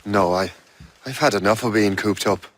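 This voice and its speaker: An actor playing a guarded, brooding young adventurer in a game cutscene, speaking in a blunt, earnest way. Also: male voice, fairly low pitch, moderate pace, close to an everyday voice. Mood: determined. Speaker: game protagonist. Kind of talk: dramatic game cutscene dialogue.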